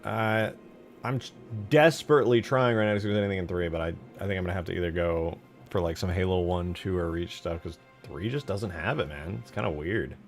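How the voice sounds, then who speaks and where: Male streamer, low voice, informal and muttering to himself while modding a game, music behind him. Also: fairly quick. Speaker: game modder streaming his work. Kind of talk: casual livestream chat while working. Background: background music.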